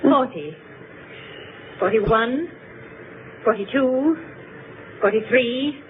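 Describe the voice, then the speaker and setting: An actress playing a composed, gracious society hostess in an old radio drama, speaking with deliberate precision. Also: female voice, on the high side, lively intonation. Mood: measured, suspenseful. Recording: tinny, very noisy old radio recording.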